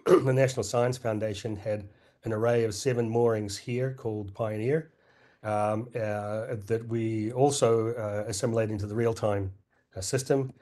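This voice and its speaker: A middle-aged man presenting at a scientific conference, hoarse and clearing his throat, with many fillers and restarts. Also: lowish voice, fairly even intonation, moderate pace, warm tone.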